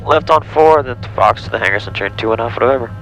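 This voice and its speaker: American man over loud plane engine noise. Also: medium pitch, very fast, poor audio. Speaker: pilot and vlogger. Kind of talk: aviation radio calls and casual vlog talk. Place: small airplane cockpit. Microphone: aviation headset via audio cable.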